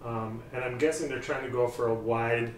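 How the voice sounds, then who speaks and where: A man's voice: medium pitch, fairly even intonation, moderate pace, a little hiss or hum. A middle-aged man casually reviewing audio gear, thinking aloud with many ums and uhs, seated in a small room with speakers. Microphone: far camera on a tripod. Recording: roomy sound from a distant mic.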